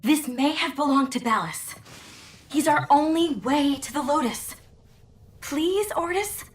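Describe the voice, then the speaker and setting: An actress playing a determined young space operator in a video game cinematic, firm and urgent in manner. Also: female voice, high voice, lively intonation, a little slow. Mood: insistent. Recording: roomy, distant, faint background noise.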